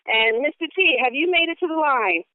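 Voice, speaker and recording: A woman's voice: high voice, lively intonation, fairly quick, no echo. A woman hosting a conference call, bubbly and enthusiastic, repeating her welcomes to each caller. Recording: phone line.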